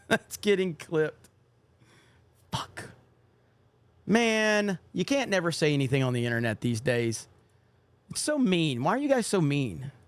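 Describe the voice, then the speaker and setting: A man's voice: a little high, very animated, takes it slowly, pauses often, clear, resonant tone, no room sound. A young man hosting a casual livestream, reading out viewer donations and cursing offhandedly. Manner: reads viewer donations aloud, swears casually. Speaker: livestream podcast host.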